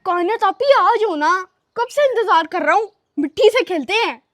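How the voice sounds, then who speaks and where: A performer playing a cheeky, quick-witted young boy, playful and bold, in an acted moral-story skit. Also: very high voice, animated, no room sound, clean studio sound. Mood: eager, playful.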